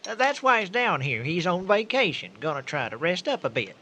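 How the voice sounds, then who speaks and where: A man's voice: high-pitched, very animated, brisk, sharp tone, full character voice. An actor playing a folksy, bossy, good-hearted small-town man with a Southern American accent in a comic radio drama. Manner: folksy, insistent, talkative. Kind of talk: comic old-time-style radio drama.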